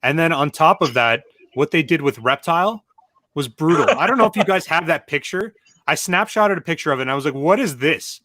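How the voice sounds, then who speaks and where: A man with a fairly high, very lively voice gives his opinions as a panelist in casual chat. He gripes emphatically and restarts often, recorded dry. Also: no echo. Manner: emphatic, griping, halting restarts. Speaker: panelist on a video game movie show.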